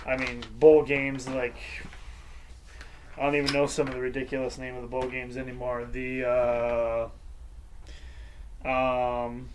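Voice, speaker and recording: A man's voice: mid-range voice, completely flat delivery, measured pace, long pauses, a little hiss or hum. A middle-aged man hosting a casual sports preview show, rambling and hesitant, with a lot of fillers. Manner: rambling, hesitant, breaks into goofy sung tunes.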